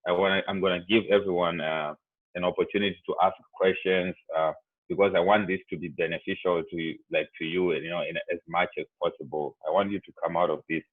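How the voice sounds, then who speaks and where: A man's voice: sits low, steady tone, brisk, no echo. A young man from Zimbabwe with a Zimbabwean accent, speaking casually to students on a video call and filling his talk with "like" and "you know". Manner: rambling, heavy on "like" and "you know". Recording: tinny, dry video-call audio.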